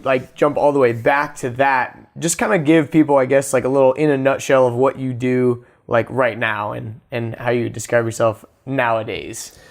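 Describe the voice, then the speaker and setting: A young man hosting a podcast, speaking in an informal, friendly, rambling way. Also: mid-range voice. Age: young adult. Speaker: podcast host. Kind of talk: casual podcast interview.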